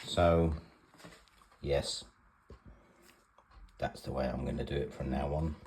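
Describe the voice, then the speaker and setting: Very deep-voiced man, unhurried and chatty. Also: steady tone, a little mellow, slight background noise. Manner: rambling, matter-of-fact, chatting aside to his dog. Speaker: vlogger demonstrating a kitchen task. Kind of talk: casual home demonstration chat. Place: indoors, home kitchen.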